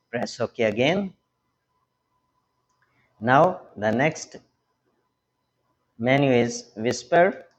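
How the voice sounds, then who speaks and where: A man with a mid-range voice walks through a technical tutorial step by step. He speaks very slowly, in short and halting instructional phrases. The recording is dull and somewhat lo-fi. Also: medium pitch. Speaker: tech tutorial host. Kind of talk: hands-on step-by-step product tutorial.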